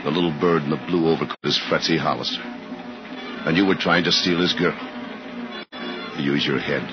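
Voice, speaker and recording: A hard-boiled male detective in a fifties radio thriller. His very deep voice delivers terse, slangy lines. The recording is dull and muffled, with music clearly behind him. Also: steady tone, normal speed. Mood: brooding, stern. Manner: terse, clipped slangy talk. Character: hard-boiled detective, doubting and self-reproaching, tailing a suspect. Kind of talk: 1950s radio drama acting, noir narration.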